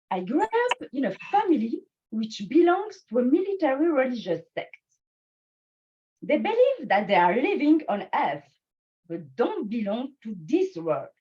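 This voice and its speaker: A high, lively woman's voice with a French and Swiss French accent, French being her first language, delivering a prepared personal speech. She speaks slowly and deliberately, pausing between phrases, and her voice is slightly breathy. The sound is muffled, lo-fi online meeting audio. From born in Bangladesh, raised in France. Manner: slow, deliberate delivery with pauses. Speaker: club speaker.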